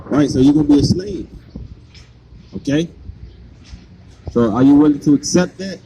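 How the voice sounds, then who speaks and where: A man's voice: fairly high pitch, huge pitch swings, moderate pace, vocal fry, noisy background. Insistent male street preacher. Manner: insistent, hammers points with repeated "right?".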